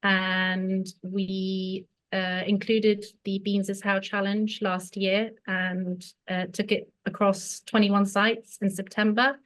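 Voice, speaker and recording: A woman's voice: medium pitch, little pitch movement, slow pace, hardly any pauses. A woman chef and cookbook author from Tanzania, talking hesitantly with many fillers on a webinar video call. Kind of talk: webinar talk.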